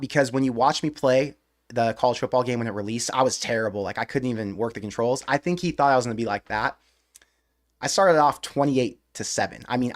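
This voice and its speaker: Male live-stream host, casual chat, rambling, excitable, loose mild swearing. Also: mid-range voice.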